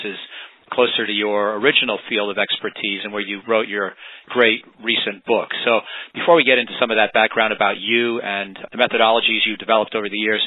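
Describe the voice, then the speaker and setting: A man interviewing a guest on a podcast, courteous and complimentary, asking long, careful questions. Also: fairly low pitch. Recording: dry, phone-like line.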